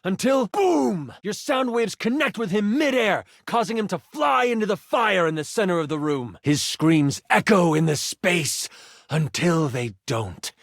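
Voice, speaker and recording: A man's voice: sits high, lively intonation, creaky, no echo, studio quality, full character voice. An actor playing a game master in a video game, a man narrating a tabletop fantasy adventure with theatrical, suspenseful timing. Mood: suspenseful, excited. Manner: theatrical, suspenseful storytelling with weighted pauses. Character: living twenty-sided die, dramatic male game master.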